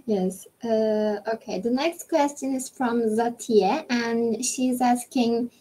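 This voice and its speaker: A woman's voice: on the high side, slow pace, warm tone, poor audio. A woman moderating a webinar over a video call, politely and carefully reading out audience questions.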